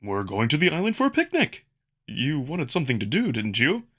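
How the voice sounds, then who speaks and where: A man's voice: mid-range voice, huge pitch swings, fairly quick, fairly bright tone, pitched above the performer's own voice. A man voicing an earnest, eager male alien wolf in a visual novel, speaking emphatically with formal wording and a touch of awkwardness. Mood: eager, instructive. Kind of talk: visual novel character dub.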